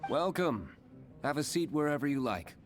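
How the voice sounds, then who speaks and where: A man's voice: on the high side, sing-song, normal speed, loud music behind the voice. An actor playing a reserved, curt young nobleman tending bar in a video game, dry and serious. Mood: formal.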